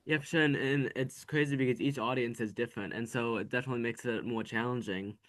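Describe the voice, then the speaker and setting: A man's voice: medium pitch, steady tone, quick pace, fairly bright tone. A man hosting a podcast interview, putting prepared questions to his guest.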